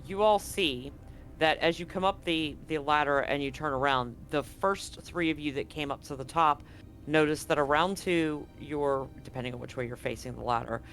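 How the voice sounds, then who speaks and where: A man's voice: a little high, moderate pace, a bit bright. A man running a tabletop roleplaying game as game master, describing scenes in a rambling, self-correcting way.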